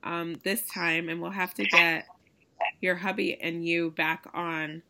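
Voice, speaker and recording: A woman hosting a podcast in casual, chatty conversation, her voice raspy and hoarse from a recent illness. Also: fairly low pitch, fairly even intonation, measured pace, bright, forceful tone. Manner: chatty, laughing easily, enthusiastic. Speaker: podcast host. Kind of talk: casual chat.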